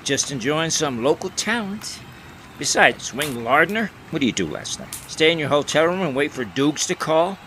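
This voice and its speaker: A man's voice: a little high, sing-song, moderate pace, bright, forceful tone, slight background noise. An actor playing a cocky, sarcastic 1920s newsman who needles his pals in slangy period-drama style. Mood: cocky, mocking. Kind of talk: period radio drama dialogue.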